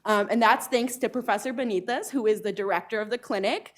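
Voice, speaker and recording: A woman's voice: fairly high pitch, brisk. A woman in her thirties from Arizona, a law professor introducing herself at a panel event, earnest, with frequent ums. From Arizona, United States. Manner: earnest, frequent ums, occasional light laughs.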